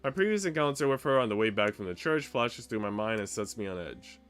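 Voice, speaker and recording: A man's voice: lowish voice, animated, a little fast, a bit bright, clear, resonant tone, soft background music. A man streaming a visual novel, reading its narration aloud and chatting with viewers.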